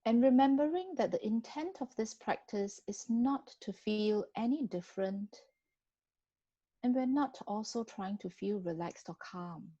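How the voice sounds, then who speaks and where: A woman guiding a body scan meditation in a gentle, unhurried manner with long pauses between phrases. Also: fairly high pitch, lively intonation, no room sound, lo-fi sound. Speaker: meditation guide.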